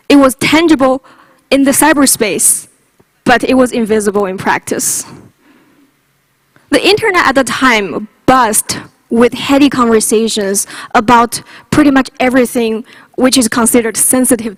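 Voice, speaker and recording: Higher-pitched Chinese-accented woman giving a talk. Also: dry recording. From China. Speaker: conference speaker. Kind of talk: lightning talk to an audience, prepared.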